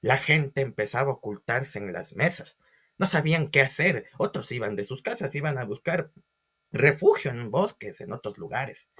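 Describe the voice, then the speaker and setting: A man's voice: medium pitch, very animated, normal speed, a bit bright, slightly rough. A man giving a history lecture as a storyteller, with theatrical emphasis and questions to his listeners. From Ecuador. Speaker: history teacher.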